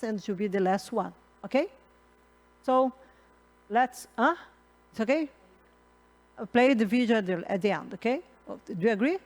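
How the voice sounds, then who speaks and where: A middle-aged woman from Brazil with a Brazilian accent and Portuguese as her first language, moderating a conference panel in halting English full of fillers and restarts. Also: medium pitch. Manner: halting, many fillers and restarts, laughs at own slips. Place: conference hall.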